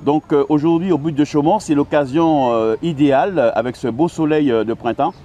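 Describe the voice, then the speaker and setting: A man's voice: fairly high pitch, dark tone, highs cut off. A man hosting an outdoor interview in a city park, introducing his guest and asking questions with frequent hesitant fillers. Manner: friendly, halting with frequent "euh" fillers. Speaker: interviewer and host. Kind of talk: casual interview. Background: faint outdoor ambience with birds and traffic.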